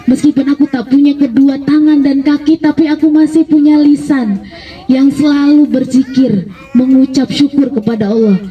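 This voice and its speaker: A woman voicing a frail, blind, pious old man in a live children's story, speaking haltingly and with gratitude. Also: sits high, fairly even intonation, mellow, distant mic, faint music behind, pitched above the performer's own voice, lightly acted. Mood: devout, grateful. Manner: halting, exclamatory, addressing listener as young man.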